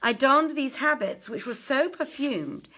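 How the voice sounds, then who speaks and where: A woman reading an old-fashioned tale aloud as a storyteller, expressively, with deliberate phrasing and dramatic pauses. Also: high voice, animated, pushed and bright.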